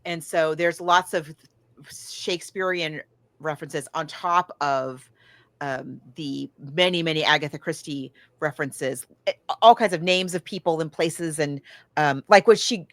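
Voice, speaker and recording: A woman with a slightly low voice talks in an effusive, casual way, like a podcast host sharing a review. The recording is close and dry, with no room sound. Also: unhurried, fairly bright tone, dry recording. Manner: enthusiastic, effusive.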